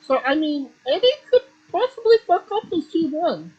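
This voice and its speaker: A man's voice: very high-pitched, sing-song, moderate pace, darkish tone, dull audio. A man hosting a casual wrestling podcast, matter-of-fact, reeling off standings with offhand swearing. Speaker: podcast host.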